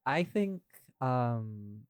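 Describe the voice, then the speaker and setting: A man's voice: mid-range voice, sing-song, very slow, clean tone, dry recording, clean studio sound. A man hosting a podcast in an informal, conversational way. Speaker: podcast host, voice actor. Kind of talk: casual chat.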